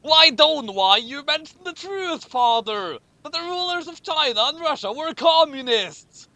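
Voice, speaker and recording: A shocked, indignant young hero, as a male reader puts him on, high and very animated. He exclaims in drawn-out, bright bursts fit for a parody storybook. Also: no room sound, full character voice. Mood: defiant.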